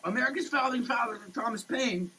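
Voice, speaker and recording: A person giving a dramatic, declamatory reading in a halting way, often repeating words. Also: medium pitch, sharp tone, breathy edge. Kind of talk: dramatic, theatrical reading of a product label. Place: in a running shower. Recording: noisy wet shower recording.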